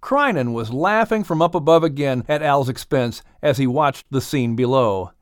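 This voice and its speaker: Animated male storybook narrator. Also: medium pitch, normal speed, smooth, no room sound, clean studio sound. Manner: dramatic, expressive storytelling. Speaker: audiobook narrator. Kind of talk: scripted children's story narration.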